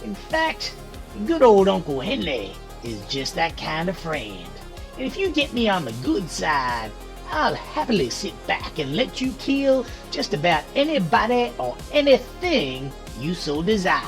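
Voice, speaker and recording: A man's voice: sits high, wildly swooping pitch, unhurried, voice pushed up, exaggerated voice. A man playing a folksy, sly and menacing uncle who hosts a horror show with theatrical relish. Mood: gleeful, sly menace. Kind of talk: in-character horror anthology hosting. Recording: music underneath.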